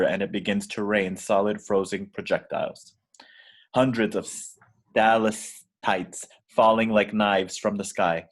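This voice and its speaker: A man reading screenplay stage directions as scripted narration in an amateur table read. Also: lowish voice.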